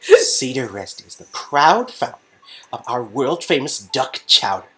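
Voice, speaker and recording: A woman voicing a boisterous, proud small-town boyfriend in an interactive story game, with booming, drawn-out cheerleading delivery. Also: sing-song, a bit bright, heavily acted. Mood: proud, excited.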